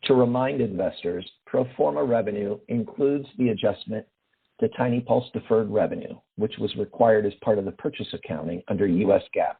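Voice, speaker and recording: A man, a finance executive, reading a formal financial report in a steady manner over a phone line. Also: fairly low pitch, a little fast, creaky, slightly rough.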